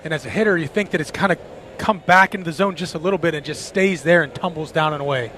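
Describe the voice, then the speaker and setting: A man with a slightly high voice works as a baseball color analyst on live radio. He explains plays piece by piece in short phrases, with clear stadium noise around him. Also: quick pace, bright, forceful tone.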